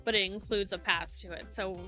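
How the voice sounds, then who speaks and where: Bright-voiced easygoing woman. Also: mid-range voice, steady tone, a little fast, faint music behind. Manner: easygoing, chiming in to finish others' sentences. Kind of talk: casual podcast chat.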